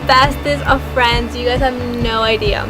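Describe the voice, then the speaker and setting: A woman's voice: on the high side, fairly even intonation, normal speed. A young American woman telling a casual storytime, chatty and full of "like", acting out her past self. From United States. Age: young adult. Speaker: vlogger. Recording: loud background music.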